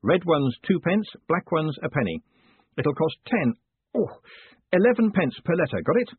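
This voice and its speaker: A man voicing a brash, smooth-tongued postmaster in an audiobook, talking in curt, bossy bursts. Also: mid-range voice, huge pitch swings, normal speed, no room sound, full character voice. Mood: brusque, impatient. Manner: curt orders in clipped bursts. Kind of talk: audiobook character dialogue. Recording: muffled, thin phone-like sound.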